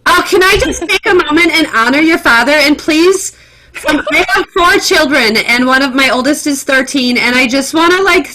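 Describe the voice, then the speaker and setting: A woman's voice: sits high, animated, normal speed, a crowd in the background, rough recording. A middle-aged woman hosting an astrology podcast, effusive and enthusiastic in casual talk. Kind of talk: casual conversation.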